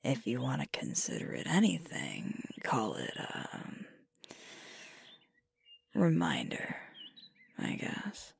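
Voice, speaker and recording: A woman's voice: rumbling low, wildly swooping pitch, no echo, full character voice. A woman playing a sweet, sleepy, affectionate girlfriend in an intimate ASMR roleplay, murmuring tenderly close to the microphone. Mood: affectionate, gently teasing. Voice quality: breathy, whispery. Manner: slow, drowsy, tender murmuring. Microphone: close microphone, dry.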